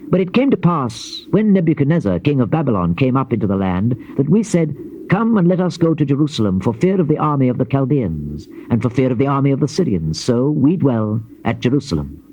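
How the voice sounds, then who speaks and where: Solemn prophet, sweeping intonation. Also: male voice, mid-range voice, very animated, mellow, breathy edge. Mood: earnest recounting. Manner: declamatory, measured, grave. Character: solemn male Old Testament prophet recounting God's warnings. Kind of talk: dramatized King James scripture reading. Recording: dull noisy old cassette tape.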